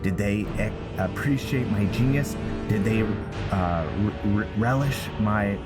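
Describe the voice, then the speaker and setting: A man voicing a bitter, boastful, vengeful mad alchemist as he reads a fantasy journal aloud, theatrical and halting. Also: on the low side, lightly acted. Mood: bitter boasting. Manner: theatrical, gloating, halting reading. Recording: loud music behind the voice.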